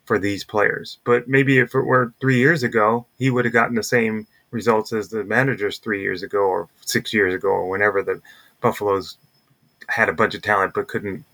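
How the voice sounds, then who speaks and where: A man's voice: lowish voice, fairly even intonation, normal speed, a bit bright, poor audio. A man co-hosting a sports podcast, talking casually and thinking aloud as he discusses baseball.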